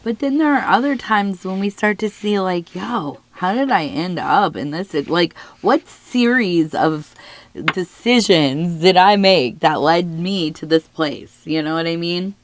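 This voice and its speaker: Hoarse, low-voiced woman reading tea leaves. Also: animated, a little slow. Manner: musing, reassuring, talks straight to the listener. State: hoarse, losing her voice from illness. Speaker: tea leaf reader. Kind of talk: soft-spoken divination reading, ASMR.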